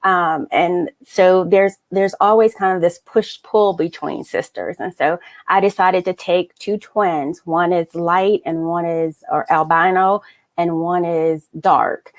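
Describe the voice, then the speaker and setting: Low-voiced woman author, direct. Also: steady tone, slow pace. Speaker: author, panel guest, military veteran. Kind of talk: panel discussion over video call.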